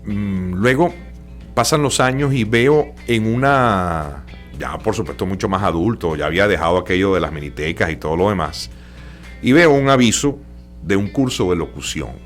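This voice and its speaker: Low-voiced older Venezuelan man. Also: normal speed, faint music behind. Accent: Venezuelan Spanish. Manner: relaxed, hesitant with many fillers. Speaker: radio announcer guest. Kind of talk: interview storytelling.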